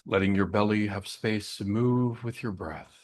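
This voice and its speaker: A man guiding a breathing meditation in a gentle, unhurried way, leaving long pauses between short instructions. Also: fairly low pitch, fairly even intonation. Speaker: meditation guide.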